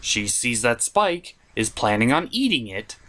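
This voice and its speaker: Sarcastic cartoon reviewer doing comedy narration, super animated pitch, dramatic and kinda sweary. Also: a little slow. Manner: theatrical, sarcastic, mock-exasperated; profane.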